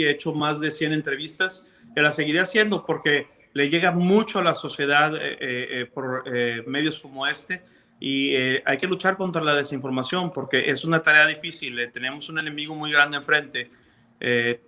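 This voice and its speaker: A man's voice: a little high, steady tone, normal speed, pushed and bright. A man from Mexico with a Mexican accent, a physician explaining things earnestly in a video-call interview, with many fillers. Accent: Mexican Spanish. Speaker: physician guest.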